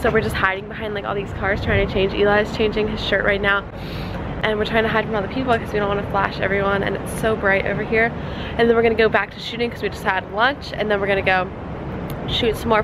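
A teenage girl chatting casually into a handheld camera outdoors, bubbly and giggly with lots of "like", her voice a bit hoarse. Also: medium pitch, flat delivery, fast. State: slightly hoarse. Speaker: vlogger. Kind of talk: casual vlog chat. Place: outdoors on city streets. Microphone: handheld camera at arm's length. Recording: loud street and traffic noise.

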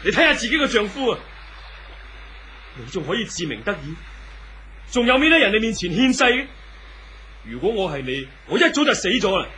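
A man's voice: sits high, sing-song, normal speed, long pauses, pushed and bright, full character voice. A man playing a hot-tempered lover in a 1970s radio drama, declamatory and intense, with a Hong Kong Cantonese accent. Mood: enraged, scornful. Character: hot-tempered, scornful man torn between two women. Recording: faint hiss on old radio recording.

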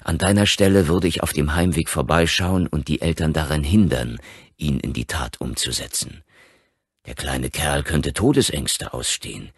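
A man voicing an opinionated psychiatrist in an audiobook, lecturing in a measured, earnest, emphatic way. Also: very deep voice, breathy edge, no room sound, studio quality, full character voice. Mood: frank, concerned. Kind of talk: audiobook character acting.

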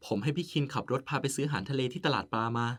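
A man's voice: mid-range voice, expressive, rapid-fire, close to an everyday voice. A man playing a caring, nagging, playful partner of an older man in an audio drama, polite yet chiding. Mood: chatty. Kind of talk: Thai audio drama acting.

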